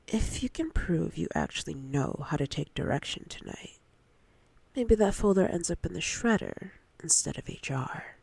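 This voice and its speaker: A woman voicing a domineering, predatory female boss in intimate roleplay ASMR, speaking in a breathy, often whispered voice with slow, commanding, teasing menace. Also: low voice, animated, darkish tone, no room sound, heavily acted. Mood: cold, threatening.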